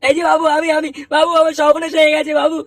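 An actor voices a hard-up cartoon tea-hawker uncle in a high, quick voice. He whines and repeats himself like someone always put upon. The recording is noisy and off-mic. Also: completely flat delivery. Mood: wailing, distressed. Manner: whining, repetitive, pleading. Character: poor, pleading, put-upon street tea seller, an older uncle. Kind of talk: Bengali comedy cartoon voice acting. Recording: noisy, distant pickup with clicks.

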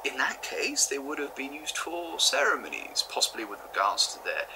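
A man's voice: medium pitch, wildly swooping pitch, moderate pace, gravelly, background noise. An actor playing an eager male archaeology professor, hesitant and stammering. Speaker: historian.

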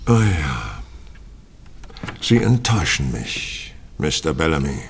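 A man's voice: extremely low pitch, sing-song, slow, creaky, a little hiss or hum, full character voice. An actor playing a shrewd, stern male detective, precise and commanding, in a German audio drama. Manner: precise, probing, authoritative.

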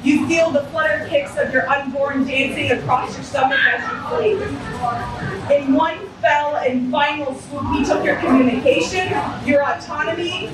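A woman's voice: sits high, background noise. A woman in her thirties performing spoken word poetry at an open mic in a small bar, reading from a book with intense, impassioned delivery. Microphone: stand mic, filmed by a far camera. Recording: echoey bar, from far off. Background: bar ambience.

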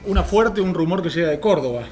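A man's voice: on the high side, expressive, fast, a little mellow, faint music behind. A man in his thirties with an Argentine accent, reporting political news conversationally as a panel commentator. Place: small TV studio at a panel table.

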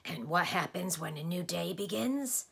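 A woman's voice: lowish voice, animated, unhurried, gravelly, husky, heavily acted. A woman voicing a blustering, easily exasperated male doctor in a storybook, lecturing and quizzing emphatically. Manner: emphatic, questioning, declamatory.

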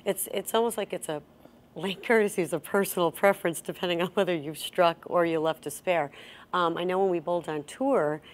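A middle-aged woman with a lowish voice leads a bowling lesson as host. She explains things step by step and prompts her co-host with guiding questions. She sits at a table in a bowling center. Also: fairly quick. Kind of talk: instructional chat.

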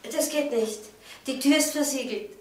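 A woman's voice: high-pitched, normal speed, lightly acted. An actress playing a guarded housewife and mother in a radio crime play, answering carefully and defensively. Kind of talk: German radio crime drama acting.

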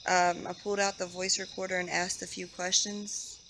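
A woman's voice: on the low side, flat delivery, moderate pace, pushed and bright. A young American woman vlogging casually outdoors at night, talking into a handheld camera. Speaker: paranormal vlogger. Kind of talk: casual vlog narration and chat. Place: outdoors at night around a train station. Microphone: handheld camera microphone. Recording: noisy outdoor night recording with insects.

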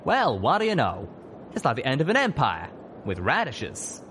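A man's voice: mid-range voice, wildly swooping pitch, moderate pace, fairly bright tone, a little hiss or hum, voice pushed up. A man voicing a brash, folksy pegasus pony fighter with a drawl in an audiobook. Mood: exuberant.

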